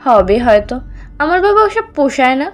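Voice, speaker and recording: A woman's voice: high voice, big pitch swings, fairly quick, soft background music. A woman voicing a snobbish, disdainful young college girl in a cartoon, with a haughty, mocking way of talking. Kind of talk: Bengali animated story dialogue.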